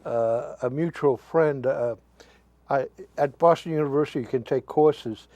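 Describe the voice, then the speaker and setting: A man hosting a local interview show, asking questions in a halting way, stumbling over names and using many fillers. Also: medium pitch, mellow, dry recording, dull audio.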